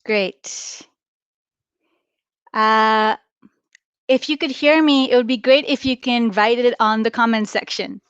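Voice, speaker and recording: A woman's voice: on the high side, takes it slowly, pauses often, a bit bright, clear, resonant tone, no echo, muffled recording. A woman in her thirties from India giving a live admissions webinar, upbeat and chatty, often checking in with "right?". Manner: upbeat, interactive, explaining with frequent "right?". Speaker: admissions director.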